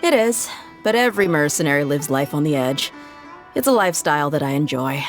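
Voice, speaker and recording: A low-pitched tough woman mercenary from a video game. She talks in a laid-back, matter-of-fact way with a world-weary edge. Music plays prominently behind her. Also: animated, fairly quick. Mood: calm, resolute. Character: tough, laid-back female desert mercenary, loyal and candid. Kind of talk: video game character acting, conversational storytelling. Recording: studio game dialogue over loud music. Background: background game music.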